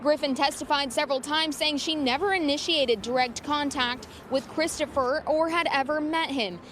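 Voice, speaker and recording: A woman's voice: high-pitched, normal speed, fairly bright tone, a little hiss or hum. A woman reporting a scripted television news story from outdoors. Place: outdoors outside a courthouse.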